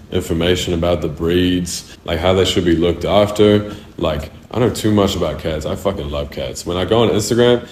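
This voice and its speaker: Young kiwi guy rambling answers, cusses a lot, low voice, kinda echoey. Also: a little mellow, slight background noise. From New Zealand. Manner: loose, slangy and profane. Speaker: music artist. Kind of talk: casual answers to interview questions. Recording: roomy echo.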